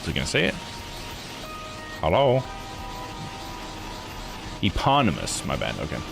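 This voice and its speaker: A man's voice: sits low, huge pitch swings, unhurried, gravelly. A man hosting a game playthrough, reading text aloud and musing to himself in casual live commentary. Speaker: host of a visual novel live playthrough. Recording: music behind the voice.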